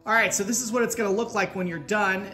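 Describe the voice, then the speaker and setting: A man's voice: high voice, fairly even intonation, fast, loud music behind the voice. Man teaching in an echoey workshop. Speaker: woodworking tutorial host. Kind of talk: casual step-by-step instruction. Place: indoor woodworking shop. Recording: roomy echoing workshop sound.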